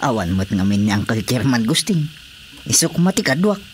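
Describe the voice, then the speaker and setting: An actor playing an eager, hesitant young brother-in-law in an Ilocano radio comedy drama, with an Ilocano accent. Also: male voice, medium pitch, very animated, moderate pace, lightly acted. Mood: obliging, sheepish. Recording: faint background noise with crickets.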